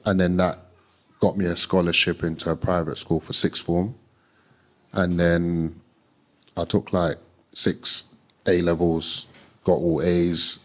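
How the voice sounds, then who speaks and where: A young man, a panelist calmly telling how he got started. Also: sits low. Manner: calm, matter-of-fact storytelling. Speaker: panelist, trading academy founder.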